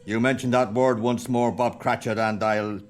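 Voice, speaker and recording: A man's voice: mid-range voice, fairly even intonation, moderate pace, natural voice. An Irish actor playing a miserly, bitter old man in a radio play, scornful and snapping. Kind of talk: radio drama acting.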